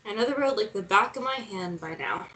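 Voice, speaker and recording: A woman's voice: medium pitch, animated, brisk, bright, forceful tone, recorded from a distance, rough recording. A woman playing an inquisitive, plain-spoken and wry journalist in a screenplay table read. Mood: matter-of-fact. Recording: clear background noise.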